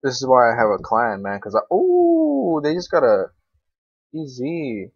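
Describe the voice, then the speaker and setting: Young male gamer, medium pitch, off-the-cuff slangy commentary with swearing, muffled lo-fi mic. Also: wildly swooping pitch, unhurried, breathy edge, no room sound. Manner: slangy, swearing, rambling to himself. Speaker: gaming video creator. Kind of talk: casual unscripted game commentary. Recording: dull, lo-fi microphone.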